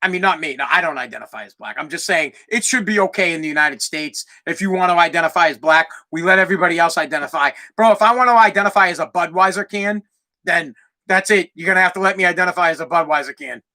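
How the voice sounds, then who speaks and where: Loud, brash Boston man ranting. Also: on the high side, fast. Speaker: podcast host. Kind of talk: solo podcast rant.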